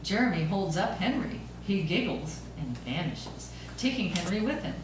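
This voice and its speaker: Woman reading script narration at a table read, far from mic, echoey room with clear noise. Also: fairly low pitch, sing-song, moderate pace. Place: echoey room, recorded from a distance.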